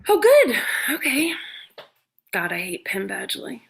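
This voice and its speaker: A woman playing an imperious, sharp-tongued older comedy star, snarky and commanding, in a comedy table read. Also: fairly high pitch, wildly swooping pitch, a little slow, gravelly, exaggerated voice. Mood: teasing, testing.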